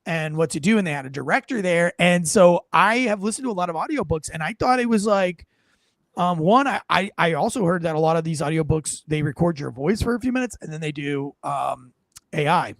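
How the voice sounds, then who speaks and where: A former police officer talks loosely, full of fillers and restarts. His voice sits a little high for a man. The sound is dry and close, with no room around it. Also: expressive, a little fast, no echo. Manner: rambling, with many fillers and restarts. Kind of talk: casual chat about his audiobook.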